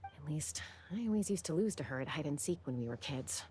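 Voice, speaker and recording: A laid-back young woman from a video game. Her voice is low, casual and guarded. Faint ambient noise runs underneath. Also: lively intonation, brisk, warm tone. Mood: resigned, wistful.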